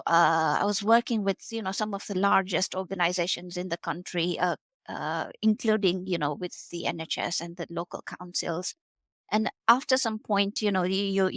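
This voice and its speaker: A woman's voice: fairly low pitch, a bit bright, no room sound, studio quality. A woman in her forties from Kenya, a guest on a podcast interview, talking in a hesitant way with many fillers and restarts. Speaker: guest, R&D tax specialist.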